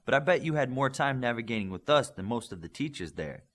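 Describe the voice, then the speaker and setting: A man reads as an encouraging, clever superhero mentor in a storybook. His delivery is friendly, with animated pitch and a husky texture. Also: quick pace. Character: supportive, clever older male superhero mentor.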